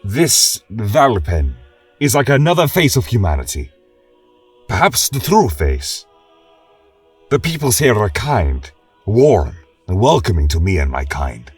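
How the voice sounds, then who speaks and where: A man voicing a gentle, reflective horned and tailed war veteran, earnestly and thoughtfully reading out his fantasy journal. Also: lowish voice, sing-song, unhurried, slightly rough, no echo, exaggerated voice. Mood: earnest, troubled. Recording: distant mic with music behind.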